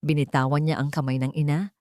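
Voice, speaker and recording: A woman narrates a dramatic scripted story. Her voice sits on the low side, and the recording is very dry with no room echo. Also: little pitch movement, fast talker, darkish tone.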